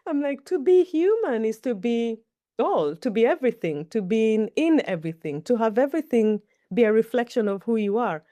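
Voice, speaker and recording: A woman's voice: a little high, sing-song, moderate pace, mellow, no echo. A middle-aged Kenyan woman, a native Kikuyu speaker, answering interview questions in a thoughtful, storytelling way. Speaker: guest. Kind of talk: reflective interview talk.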